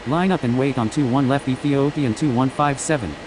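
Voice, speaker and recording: A very low female voice over hissy, noisy audio, a woman acting as an airport radio operator in a simulation game. She is matter-of-fact and clipped, sticking to formulaic aviation phrases. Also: a little fast. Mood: businesslike. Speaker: air traffic control and pilot radio voice.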